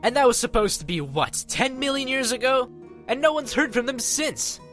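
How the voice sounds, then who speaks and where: A man's voice: extremely high pitch, expressive, normal speed, fairly bright tone, faint music behind. A man playing a skeptical, exasperated robot commander in an audio drama, sardonic and pragmatic.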